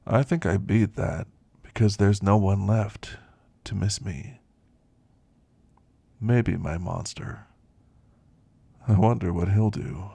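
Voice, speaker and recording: A man playing a weary, wistful elderly werewolf who confides in slow, reflective ASMR roleplay storytelling, gravelly and husky. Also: on the low side, steady tone, dark tone, no room sound, heavily acted. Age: older.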